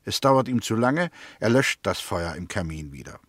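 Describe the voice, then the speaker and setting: An actor playing a confident, suspicious prosecutor in a 1960s German radio play, laying out his case deliberately and insistently. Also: fairly low pitch, big pitch swings, fairly bright tone, dry recording. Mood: deliberate, narrating. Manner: deliberate, insistent, narrates theories step by step.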